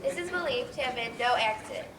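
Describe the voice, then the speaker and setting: Woman acting high-voiced storybook news reporter, echoey stage. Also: lively intonation, fast, natural voice. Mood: earnest, reporting. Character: earnest female nursery-rhyme news reporter. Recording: echoey stage, distant mic, faint noise.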